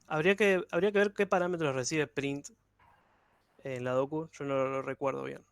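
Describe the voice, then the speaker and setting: A man's voice: a little high, fairly even intonation, normal speed, gravelly, clear, resonant tone, no echo. A man, teaching code in an online workshop with patient, step-by-step explanations over a video call. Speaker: programming instructor.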